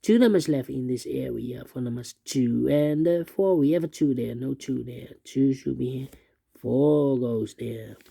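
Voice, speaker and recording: A man's voice: medium pitch, unhurried, gravelly, warm tone, dry recording, studio quality. A man giving an unscripted puzzle tutorial, thinking aloud in methodical, repetitive sing-song phrases. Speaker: puzzle instructor.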